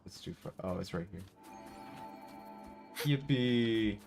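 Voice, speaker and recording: Low, very slow-talking man streaming. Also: gravelly, darkish tone, soft background music. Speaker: game streamer. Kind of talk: casual livestream reactions.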